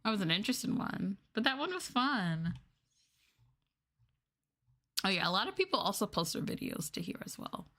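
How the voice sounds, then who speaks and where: A woman streamer talks casually to a live chat. She thinks out loud in a loose, hesitant way, at a middling pitch. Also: sing-song, fast. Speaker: VTuber streamer.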